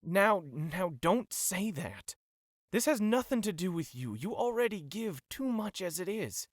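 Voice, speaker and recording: A man playing a caring, troubled pony worker in an audio drama, halting and heavy-hearted. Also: high voice, very animated, no echo, clean studio sound, exaggerated voice. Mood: troubled, concerned.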